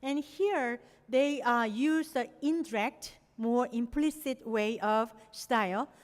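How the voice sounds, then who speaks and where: A middle-aged woman from Korea, a native Korean speaker, giving an academic presentation with non-native phrasing and frequent "you know" fillers. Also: on the high side. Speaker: lecturer. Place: lecture hall. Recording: long hall reverb.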